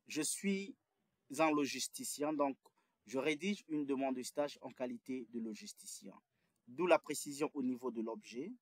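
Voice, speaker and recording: A man's voice: medium pitch, moderate pace, dry recording, clean studio sound. A man giving a step-by-step lesson on writing an internship application, restating his points carefully. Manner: methodical, repeats and restates points. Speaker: instructor. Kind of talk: tutorial on writing job applications.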